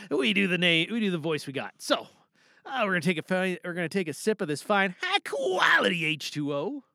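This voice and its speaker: A man from Canada hosting a podcast in a casual, chatty, animated and excitable way. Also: high-pitched, dry recording, studio quality. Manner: chatty, animated, self-interrupting. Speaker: podcast host and amateur voice actor.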